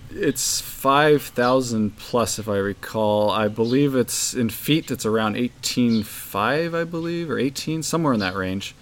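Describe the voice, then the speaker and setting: A man's voice: mid-range voice, unhurried, few pauses. A man in his forties from California, a travel blogger answering interview questions in short, matter-of-fact sentences with fillers.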